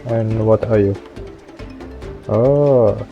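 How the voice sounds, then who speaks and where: A man's voice: on the low side, very slow, vocal fry, highs cut off, faint music behind. A man streaming a game, reacting casually in short phrases and thinking aloud.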